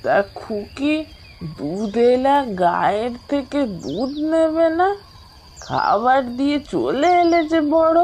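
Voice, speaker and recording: Woman voicing a slow-talking village grandmother. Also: sits high, very animated, a little mellow, a little hiss or hum, exaggerated voice. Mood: curious. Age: older. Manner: measured, inquisitive, grandmotherly. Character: caring, shrewd old village grandmother. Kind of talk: Bengali moral-tale cartoon voice acting.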